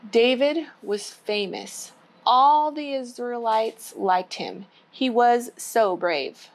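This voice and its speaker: A woman hosting a children's storytime, reading a storybook aloud in simple language aimed at young children. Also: fairly high pitch. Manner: simple, child-directed address. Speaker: children's storytime host at a church.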